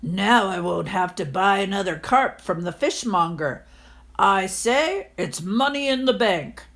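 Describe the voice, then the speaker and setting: Woman voicing a loud, grumbling old miser landlord. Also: mid-range voice, lively intonation, measured pace. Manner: grumbling, complaining, blustering. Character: grumpy, miserly old male Japanese landlord. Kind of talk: storybook character voice.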